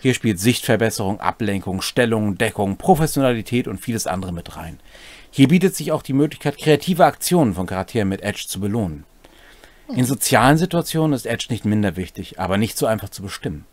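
Middle-aged man with lively, swinging intonation. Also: fairly low pitch, brisk. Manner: instructive, addresses viewers directly. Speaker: co-host explaining tabletop game rules. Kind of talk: rules explainer to camera, partly read from script. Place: small cluttered home room, indoors.

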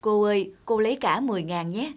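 A woman's voice: mid-range voice, animated, fairly quick, natural voice. A woman playing a humble, kindly street cake vendor in a cartoon, with a coaxing manner. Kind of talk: cartoon dubbing.

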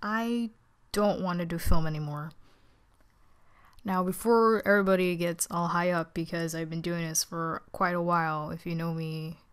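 A teenage girl with a naturally low voice, tired and subdued, giving a casual, rambling, hesitant update as a vlogger. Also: fairly even intonation, moderate pace, clear, resonant tone. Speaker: video channel creator.

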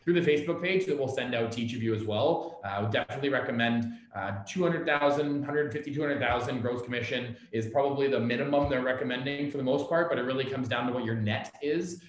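A man's voice: mid-range voice, quick pace, few pauses. Man speaking in a very echoey room. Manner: earnest, repeats words, restarts often. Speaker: office staff presenting an update. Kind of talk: casual meeting presentation. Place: office meeting room. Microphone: laptop camera on video call, seated back from it.

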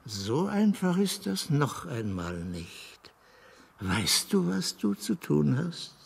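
Fairy-tale Moon for radio drama: gravelly, fairly high, patient and explanatory. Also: male voice, sing-song, exaggerated voice. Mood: instructive, cautioning. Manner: deliberate, explanatory, with weighty pauses.

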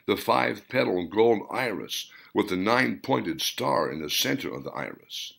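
Male author-narrator, low-pitched and very animated, dramatic audiobook delivery in deliberate short phrases. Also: gravelly. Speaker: author reading own novel.

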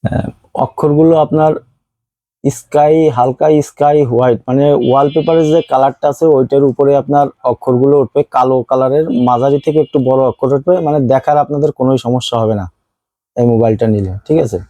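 A man's voice: mid-range voice, steady tone, fast talker, mellow, dry recording, rough recording. A man with a Bangladeshi accent giving a casual phone unboxing review as a seller, explaining things and repeating his points.

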